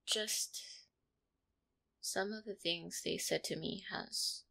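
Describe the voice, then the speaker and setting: A woman's voice: on the low side, slow, creaky, breathy edge, heavily acted. Woman acting a shy, affectionate catgirl girlfriend. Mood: flustered, shy. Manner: hesitant, soft-hearted, flustered. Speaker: roleplay audio performer. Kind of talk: intimate one-sided roleplay audio acting.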